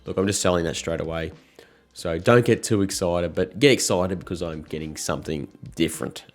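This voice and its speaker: Man, croaky with a cold, very deep, relaxed frank chat. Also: brisk. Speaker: motorcycle vlogger. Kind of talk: casual talk to camera.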